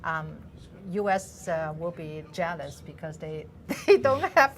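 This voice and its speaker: A woman from Hong Kong with a Hong Kong accent, her native tongue Cantonese, speaking as an interview guest in a TV studio, hesitant with many fillers and quick to laugh. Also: low voice, lively intonation, a little hiss or hum. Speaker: guest, business association president.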